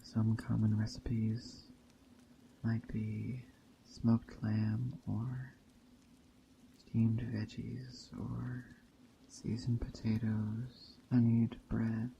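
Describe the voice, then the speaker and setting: A man speaking softly and unhurriedly with long pauses, in an ASMR roleplay as a gentle, hospitable witch. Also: fairly low pitch, flat delivery, vocal fry, dull audio, a little hiss or hum. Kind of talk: soft-spoken ASMR roleplay narration. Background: rain and forest ambience.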